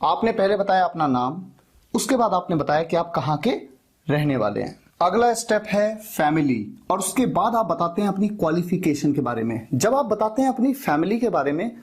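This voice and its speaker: A man teaching an English lesson for interviews. He dictates example phrases slowly and answers his own questions. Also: high-pitched, very animated, husky. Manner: asks questions and answers them himself.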